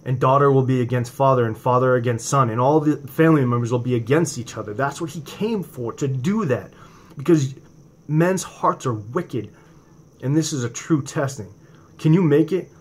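A man's voice: mid-range voice, normal speed, breathy edge. New England man preaching to a webcam. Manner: impassioned, rising to near-shouts on emphasis, then quieter and conversational. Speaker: religious video host. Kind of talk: informal preaching to camera. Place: home room indoors at night. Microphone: webcam or laptop camera from below.

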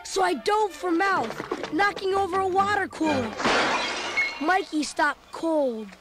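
An actor voicing an excitable young boy who narrates a storybook read-along in an earnest, dramatic manner. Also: extremely high pitch, takes it slowly, music in the background, full character voice. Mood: eager storytelling.

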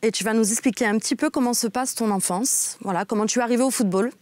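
A woman in her thirties interviews from a television studio in a friendly manner, her voice a little higher than most. The sound is close and dry. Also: quick pace, a little mellow, no echo. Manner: friendly, asks questions from notes.

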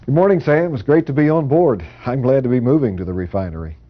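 A man's voice: mid-range voice, lively intonation, fast talker, faint music behind, natural voice. A man in his fifties with an American accent playing a naive, eager refinery trainee in a scripted training film. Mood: curious, impressed. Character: naive, curious, eager male refinery newcomer. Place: classroom. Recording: slightly dull, muffled audio.

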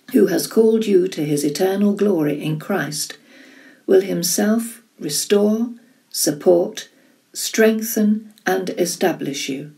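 A woman reading scripture aloud slowly and deliberately. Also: on the low side, vocal fry, warm tone, recorded from a distance. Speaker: scripture reader.

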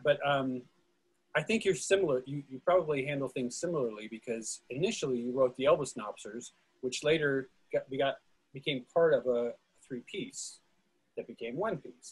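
A man hosting a casual group discussion on a video call, talking in an animated way. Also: a little high, slightly rough. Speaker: host of a playwright readers' discussion. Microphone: video call microphone.

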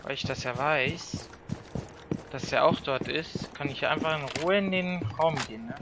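A man's voice: fairly high pitch, normal speed, noisy background. A man chatting casually while gaming, giving short callouts and grumbling at his teammates. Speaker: gamer recording gameplay with friends.

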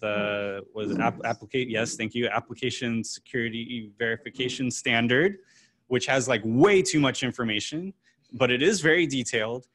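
A man's voice: mid-range voice, a bit bright. A man speaking at a meetup, answering audience questions informally with practical explanations. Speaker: application security speaker. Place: meetup room before a seated audience.

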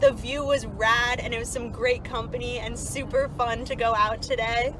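A woman's voice: on the high side, fairly even intonation, moderate pace. A young woman, a student hiker, chatting casually and cheerfully to a camera inside a car. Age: young adult. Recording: clearly noisy phone recording in car.

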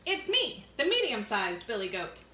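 A woman's voice: a little high, wildly swooping pitch, normal speed, pushed and bright, echoey room, full character voice. A woman voicing a polite, nervous medium-sized billy goat, pleading.

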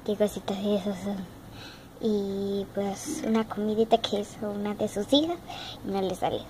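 A woman's voice: mid-range voice, steady tone, normal speed, noisy background. A young girl from Argentina with an Argentine Spanish accent, chatting casually and repeating herself as she shows off her dollhouse, recorded on a handheld phone indoors. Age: child. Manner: chatty, repeats words, childlike diminutives. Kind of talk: casual show-and-tell narration. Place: home room with wooden floor, indoors. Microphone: phone camera held in hand.

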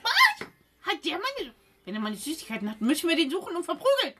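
A woman's voice: very high voice, huge pitch swings, a little fast, heavy background noise. A woman with a German accent talking in character during a casual tabletop role-playing session.

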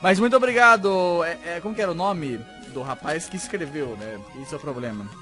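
A man's voice: fairly high pitch, brisk, bright, forceful tone, faint music behind. A man in his twenties with a Brazilian Portuguese accent, casually reading letters aloud and commenting in an informal, stop-and-start way. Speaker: YouTube host reading fan mail. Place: small home room with green screen, indoors. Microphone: desk microphone with foam cover, close.

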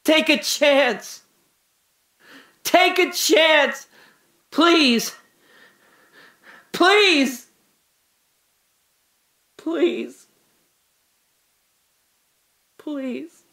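An actor playing a guilt-ridden trapped man in an audio drama monologue, halting and intense, confessing and pleading. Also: extremely high pitch, gravelly, full character voice. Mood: desperate pleading. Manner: halting, intense, swinging from hushed confession to anguished cries.

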